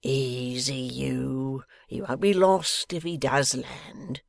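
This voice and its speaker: Man doing a gravelly, sullen rogue. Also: mid-range voice, slow pace, no room sound, clean studio sound. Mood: mocking, confident. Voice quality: growling, gruff. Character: coarse, sly, sullen lock-keeper, growling and jeering. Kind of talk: dramatised novel reading.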